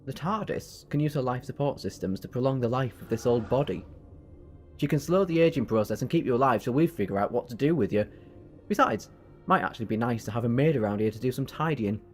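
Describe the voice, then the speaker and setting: A man's voice: mid-range voice, animated, fast, faint music behind, natural voice. Man acting a self-assured rebel time-traveller. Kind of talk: audio drama acting.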